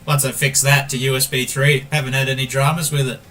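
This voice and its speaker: A man's voice: mid-range voice, little pitch movement, brisk, pushed and bright, soft background music. An Australian man streaming a game, chatting casually and calling everyone mate while he reads viewer messages aloud. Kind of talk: casual gameplay stream chat.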